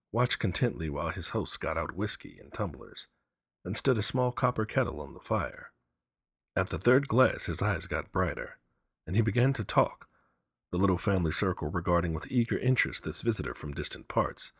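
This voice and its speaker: A man narrating a literary story in an expressive, deliberate, dramatic reading. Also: low-pitched, breathy edge, dry recording, studio quality.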